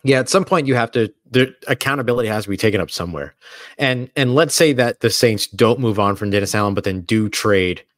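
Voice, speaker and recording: A man's voice: lowish voice, brisk, no room sound, clean studio sound. A man co-hosting a sports podcast, explaining his points earnestly and step by step. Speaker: podcast co-host. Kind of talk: sports analysis chat. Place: hotel or studio room away from home.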